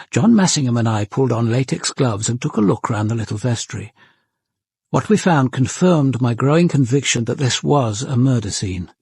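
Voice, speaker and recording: An actor playing an observant police detective, narrating a murder investigation in a grave, deliberate and precise way for a radio crime drama. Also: male voice, mid-range voice, creaky, darkish tone, husky, no echo, clean studio sound, full character voice.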